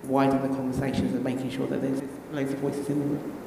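A woman speaking on a panel, soft-spoken and restarting her phrases. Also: rumbling low, level tone, fast talker, background noise. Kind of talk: panel discussion. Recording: very echoey hall.

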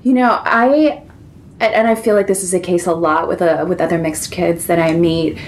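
A woman's voice: on the low side, fairly even intonation, moderate pace, slight background noise. A woman whose first language is Korean, chatting as an author guest in a casual interview, laughing often and restarting her sentences.

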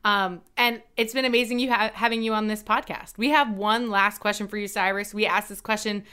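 A woman's voice: mid-range voice, fairly even intonation, a little fast, sharp tone, smooth. A woman in her late twenties co-hosting a podcast, bubbly and giggly in casual chat. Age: 20s. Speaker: podcast co-host.

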